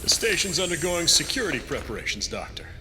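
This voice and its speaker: A man's voice: big pitch swings, quick pace. A man playing a smug, rigid villainous security chief in an audio drama, with an officious manner. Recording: echoing hall with faint rumbling noise.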